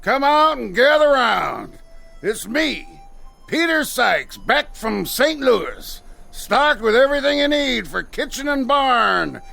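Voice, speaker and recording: High, gravelly, taunting peddler man. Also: very animated, slow, bright, forceful tone, soft background music, full character voice. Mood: hawking, jolly. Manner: theatrical, taunting salesman patter. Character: loud, jeering male traveling peddler, oily showman. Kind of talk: radio drama acting.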